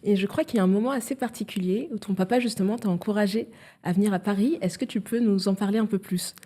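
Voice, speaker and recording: A woman's voice: mid-range voice, fast, dark tone. A woman hosting a podcast, presenting and interviewing in a welcoming, enthusiastic way. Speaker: podcast host.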